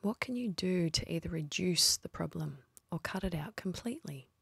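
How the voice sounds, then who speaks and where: Woman doing guided meditation, low husky voice w some creak, slow n soothing. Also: lively intonation, a bit bright, no echo. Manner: slow, soothing, with long pauses.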